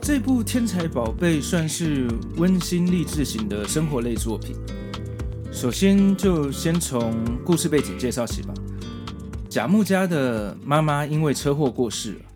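A man's voice: on the high side, sing-song, a little slow, over music. A man with a Taiwanese Mandarin accent presenting a podcast in a semi-scripted, explanatory narration style. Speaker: podcast host.

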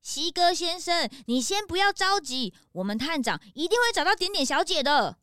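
A woman voicing an eager, bossy detective's sidekick who exclaims and scolds in an animated way. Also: extremely high pitch, moderate pace, dry recording, studio quality, exaggerated voice. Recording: music clearly under the voice.

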